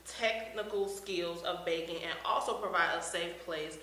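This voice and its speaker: A bright-voiced woman hosts a casual interview. She sounds upbeat, laughs easily and fills her pauses with "um" and "uh." The room adds a noticeable echo. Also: fairly low pitch, a little hiss or hum. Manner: upbeat, laughs often, many fillers.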